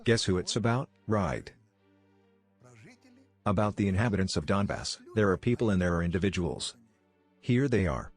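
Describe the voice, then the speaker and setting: A man's voice: deep voice, expressive, normal speed, pauses often, breathy edge, faint music behind. A man reading scripted political commentary as a voice-over narrator.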